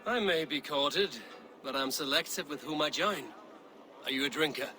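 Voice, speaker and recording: An actor playing a stern, commanding Viking warrior in a video game, speaking in measured, decisive, terse phrases. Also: male voice, on the high side, sharp tone, soft background music. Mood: courteous. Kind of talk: cinematic video game acting.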